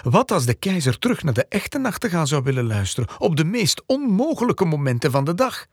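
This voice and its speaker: A man narrating a scripted fairy tale as an expressive storyteller. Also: a little high, fairly quick, slightly rough, no room sound, studio quality.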